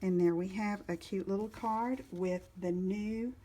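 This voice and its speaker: A woman's voice: mid-range voice, fairly even intonation, dark tone. A woman giving a casual step-by-step card-making tutorial, talking as she works and stopping now and then to look for her supplies. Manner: unhurried, talks while working, stops to search for supplies.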